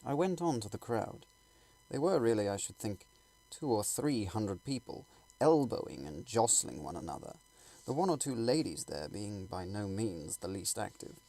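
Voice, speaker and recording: Low-voiced man narrating with dramatic swings. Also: animated, gravelly, warm tone, low-quality recording. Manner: theatrical, suspenseful, deliberate phrasing. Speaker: audiobook reader. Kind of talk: dramatic scripted narration of a classic novel.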